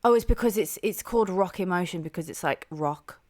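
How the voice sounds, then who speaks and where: Chatty young woman, soft lowish voice. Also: brisk. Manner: chatty and enthusiastic, heavy use of "like" and "um". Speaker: music reaction channel host. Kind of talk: casual reaction commentary.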